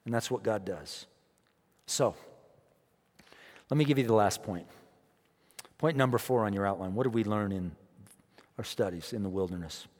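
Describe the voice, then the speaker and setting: A man preaching a sermon as pastor, emphatic, repeating his phrases and swinging between quiet and loud. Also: lowish voice, normal speed, pauses often, big-room sound. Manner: emphatic, repeats phrases, rises and drops in loudness.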